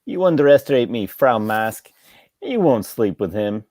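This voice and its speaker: A woman's voice: rumbling low, very animated, moderate pace, warm tone, no echo, clean studio sound. A woman reading the part of a jealous, lovesick, talkative male barber in a stage comedy table read. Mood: jealous, intense.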